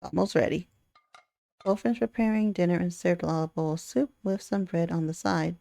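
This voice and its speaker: A woman's voice: fairly low pitch, fast talker, vocal fry, mellow, no room sound, studio quality. A woman hosting a let's play, reading a visual novel aloud and reacting casually. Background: faint music.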